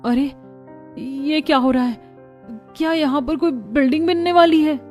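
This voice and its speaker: Woman acting plaintive high-voiced young wife. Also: normal speed, darkish tone, close to an everyday voice. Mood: alarmed. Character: worried daughters-in-law of struggling cartoon family. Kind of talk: cartoon family melodrama dialogue. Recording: music bed behind the voice.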